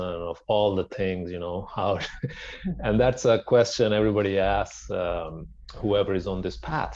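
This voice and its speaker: A man's voice: on the low side, a little mellow, highs cut off. A middle-aged man from Pakistan telling his personal story as an interview guest, in a conversational way. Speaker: podcast guest, wellness centre founder.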